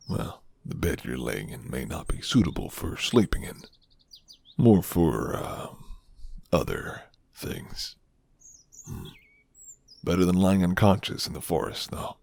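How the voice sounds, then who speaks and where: Man playing a very deep, gravelly, flirty werewolf. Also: very animated, exaggerated voice. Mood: soft, amused. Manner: slow, hushed, teasing. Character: flirty, gentle rugged male werewolf living alone in forest. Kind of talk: ASMR roleplay acting.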